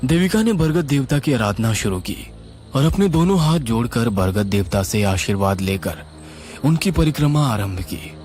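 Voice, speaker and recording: A man narrating a scripted horror tale in a dramatic, suspenseful and expressive style. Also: medium pitch, moderate pace. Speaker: storyteller, narrator. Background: music.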